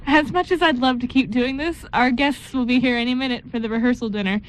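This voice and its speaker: A woman's voice: high voice, fairly even intonation, quick pace, a little hiss or hum, natural voice, low-quality recording. A woman playing an affectionate, teasing young bride-to-be in an amateur radio play.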